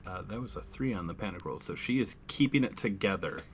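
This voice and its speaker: A man with a low voice plays a tabletop roleplaying game. He is terse and matter-of-fact, moving between character dialogue and action description, with background noise around him. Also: expressive, brisk.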